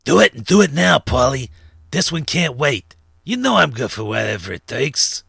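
A man's voice: medium pitch, big pitch swings, moderate pace, vocal fry, bright, forceful tone, breathy edge, no echo, clean studio sound, full character voice. A man voicing a short, thin, sly underworld fence and information broker in a narrated story, with chummy, streetwise slang. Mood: serious, commanding. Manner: streetwise gangster slang, chummy and self-assured. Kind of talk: acted character dialogue in a narrated story.

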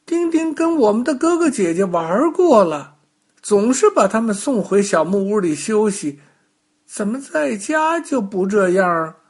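A man's voice: high voice, darkish tone, voice pushed up. A man voicing small talking toy blocks in a storybook, childlike and indignant, with a complaining, chattering manner.

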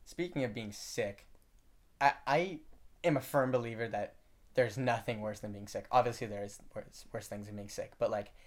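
A teenage man chatting casually as a vlogger, excitable and rambling, swearing for emphasis. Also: fairly low pitch, moderate pace. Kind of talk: casual chat.

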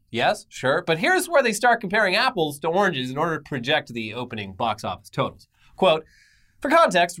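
Irreverent male entertainment news co-host, higher voice. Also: bright, forceful tone. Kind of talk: semi-scripted news commentary with casual banter.

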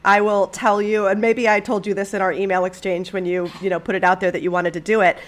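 A woman hosting a podcast, chatty and upbeat, clearing her throat and sniffling a little after an illness. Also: medium pitch, flat delivery, fast talker. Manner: upbeat, chatty, self-deprecating. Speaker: podcast host. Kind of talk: podcast interview and intro.

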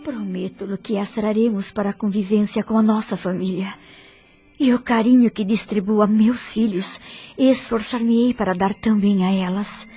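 A dignified wife and mother played by a woman in a radio drama, earnest and measured. Her voice sits at a medium pitch, speaking formally over faint music. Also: steady tone, dark tone, natural voice. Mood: tender resolve.

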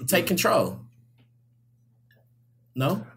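A man's voice: on the high side, very animated, extremely slow, fairly bright tone. A man of thirty hosting a casual group conversation, joking and laughing often. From Louisville, Kentucky. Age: 30s. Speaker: host.

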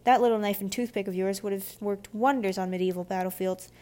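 A woman's voice: mid-range voice, steady tone, fairly quick, a little mellow, close to an everyday voice. A woman voicing a bookish, blunt young boy in a children's audiobook, chatty and matter-of-fact. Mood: eager. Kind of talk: children's audiobook character dialogue.

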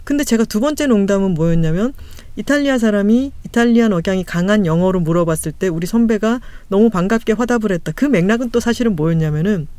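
A woman hosting a talk podcast, thinking aloud and weighing her words with many small pauses. Her voice sits at an ordinary middle pitch. The recording is close and dry, with no room sound. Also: expressive, warm tone, no room sound. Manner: thoughtful, explains carefully with many fillers. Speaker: podcast host. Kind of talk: casual chat.

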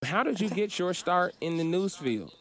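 A man's voice: sits high, normal speed. Chatty man hosting a podcast. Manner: relaxed, chatty, lots of fillers. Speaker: podcast host. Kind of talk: casual interview.

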